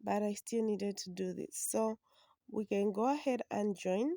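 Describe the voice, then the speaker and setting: Hoarse woman teaching slowly. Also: mid-range voice, dark tone, no room sound, clean studio sound. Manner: slow, patient instructions. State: hoarse from a flu. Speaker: sewing instructor. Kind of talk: step-by-step drafting tutorial.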